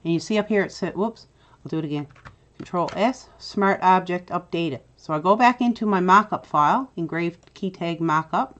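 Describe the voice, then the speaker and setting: Tutorial host: middle-aged Newfoundland woman, lowish voice, chatty with filler "uh"s, dull lo-fi desk mic. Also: moderate pace, a bit bright. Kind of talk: screen-recorded software tutorial.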